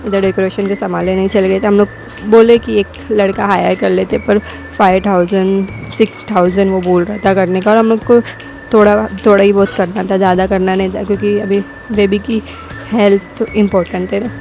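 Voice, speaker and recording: A woman's voice: medium pitch, level tone, a little fast, vocal fry, dark tone, soft background music. A young woman with a sore throat narrating her family vlog as a casual voice-over, rambling and correcting herself as she goes. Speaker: vlogger.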